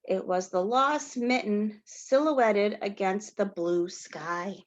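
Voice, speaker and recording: A woman's voice: mid-range voice, takes it slowly, lo-fi sound. A woman reading a picture book aloud to young children like a teacher, expressively, with questions and asides to her listeners. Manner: expressive, addresses children as friends, asks questions, gasps for effect.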